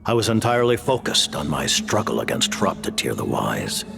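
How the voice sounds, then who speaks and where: A man playing a grave, aloof ancient mastermind in a video game cutscene, speaking in a measured, formal, imperious way with a gravelly voice. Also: low voice, wildly swooping pitch, heavily acted. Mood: aloof, reflective. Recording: background music.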